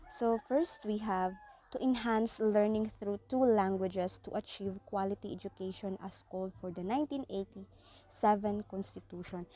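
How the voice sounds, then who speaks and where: A woman's voice: medium pitch, mellow. A young woman from the Philippines with a Filipino accent, presenting a class report with frequent restarts and fillers. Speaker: student presenter.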